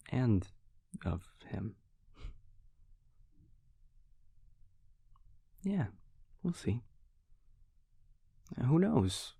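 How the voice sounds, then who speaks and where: A man playing an affectionate, wry vampire boyfriend in an intimate audio roleplay, speaking casually and hesitantly in a low, gravelly voice. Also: no room sound, studio quality, heavily acted. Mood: tender, wry. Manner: hesitant, casual, softly teasing. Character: affectionate, wry male vampire boyfriend, self-deprecating and easygoing.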